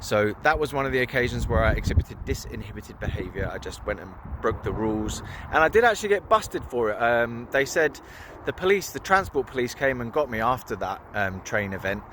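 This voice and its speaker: A man's voice: mid-range voice, expressive, fairly quick, a bit bright. Young male vlogger, loose chatty storyteller, mid-range voice, traffic noise behind. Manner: rambling, informal, with fillers and laughs. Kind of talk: casual storytelling to camera.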